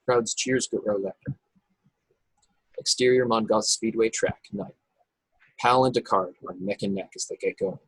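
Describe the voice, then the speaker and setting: A man's voice: fairly low pitch, steady tone, normal speed, long pauses, husky, no echo, poor audio. A man narrating stage directions from a script at a table read over a video call. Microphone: video call, close and dry.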